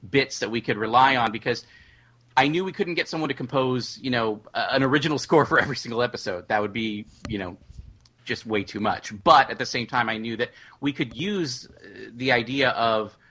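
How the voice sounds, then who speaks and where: A man speaking as a guest in a casual panel discussion, explaining things haltingly and repeating words. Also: mid-range voice, pushed and bright, slightly rough. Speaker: podcast guest, audio drama writer-producer.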